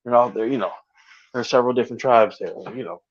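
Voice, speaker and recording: A man's voice: medium pitch, quick pace, highs cut off, a little hiss or hum. A man in a casual discussion over a call, arguing his point and firing back challenging questions. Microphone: video call.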